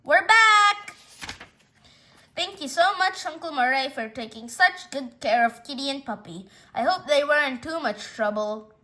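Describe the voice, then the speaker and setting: A woman's voice: high voice, expressive, normal speed, a bit bright. A woman voicing a chipper, sweetly reassuring pet owner who coos in a sing-song way, in a storybook reading. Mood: excited, coaxing.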